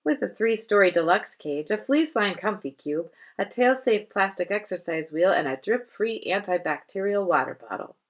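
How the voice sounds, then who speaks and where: A woman reading her own children's novel aloud as expressive scripted storytelling. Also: mid-range voice, normal speed, few pauses.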